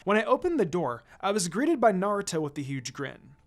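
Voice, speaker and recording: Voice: medium pitch, big pitch swings, a little fast. A narrator reading a scripted first-person story aloud, acting out bits of dialogue along the way. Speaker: fan fiction narrator.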